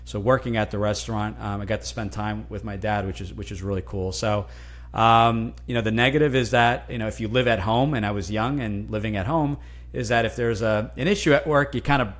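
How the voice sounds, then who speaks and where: A man from New Jersey whose first language is Italian, speaking as a guest in a casual interview, animated and often restarting words. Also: fairly low pitch, brisk. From New Jersey, USA. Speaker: guest, franchise consultant.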